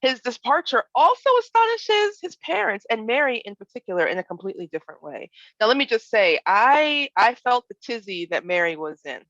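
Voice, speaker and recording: A woman guest preacher giving an expressive, reflective sermon that mixes personal storytelling with emphasized phrases and questions to her listeners. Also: fairly high pitch, a little fast, hardly any pauses, no echo. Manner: expressive, acts out imagined speech and emphasizes key words. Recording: dull, lo-fi online worship audio.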